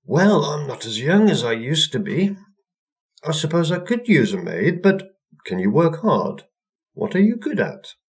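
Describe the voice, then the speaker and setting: Storybook old mistress, female, played by a male reader: raised pitch, nice but gently bossy. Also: big pitch swings, a little slow. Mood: appraising. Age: older. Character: kindly old woman with a long pointy chin, mistress.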